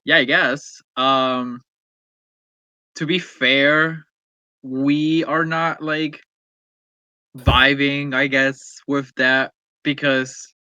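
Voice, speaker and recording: A man's voice: medium pitch, fairly even intonation, very slow, long pauses, sharp tone, no echo, lo-fi sound. A young man from Peru, co-hosting a podcast in a laid-back, joking, profane way. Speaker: podcast co-host. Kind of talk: casual chat.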